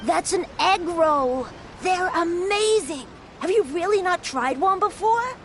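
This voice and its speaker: A woman's voice: very high voice, lively intonation, a little slow, a little hiss or hum, heavily acted. A woman voicing a friendly, proud young boy in a video game, with an emphatic, exclamatory way of talking. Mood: surprised, proud.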